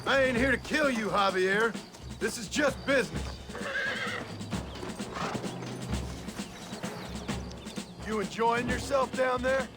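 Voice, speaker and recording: An actor playing a grim former outlaw gunman in a western game, with a gruff American drawl. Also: very high voice, moderate pace. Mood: stern, menacing. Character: grim, gruff former outlaw gunman hunting his old brother-in-arms. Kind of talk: cinematic western game acting. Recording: dramatic music behind the voice.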